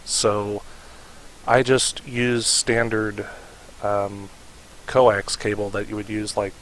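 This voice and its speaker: A man with a fairly low voice hosts a casual how-to tutorial. He speaks slowly and haltingly, pausing to think, with very little rise and fall in his tone. A faint steady hiss sits behind him. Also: low-quality recording. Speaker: tutorial host.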